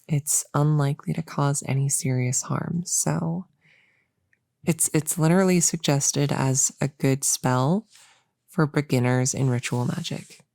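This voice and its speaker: A woman playing a nervous, eager young novice witch in an ASMR roleplay, speaking softly and hesitantly, often near a whisper, close to the mic. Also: sits low, vocal fry, no echo. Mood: reassuring. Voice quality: soft, often dropping into whisper. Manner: halting, soft-spoken, hesitant and reassuring. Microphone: close-up mic in a dry, quiet space.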